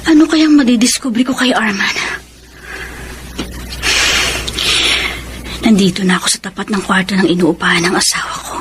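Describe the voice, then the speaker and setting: An actress playing a devoted, heartbroken middle-aged wife in a Filipino radio drama, pleading and emotional with a Filipino accent. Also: female voice, medium pitch, quick pace, noisy background, natural voice. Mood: nervous.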